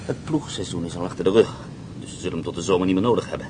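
A man's voice: sits low, very fast, background noise, natural voice. An actor playing a confident, scheming male prisoner of war on the run who talks in a persuasive, mocking way, in a radio play.

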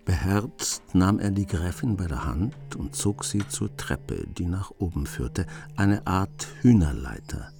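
A male narrator of a radio drama, telling an adventure tale in a measured, literary manner. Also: low-pitched, expressive, gravelly, darkish tone, faint music behind, heavily acted. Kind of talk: scripted narration.

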